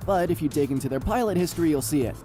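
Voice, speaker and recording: A man playing an analytical young mech pilot in a video game, measured and explanatory, with music behind. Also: fairly high pitch, animated, natural voice. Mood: explaining. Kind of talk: anime-style game voice acting. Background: game background music.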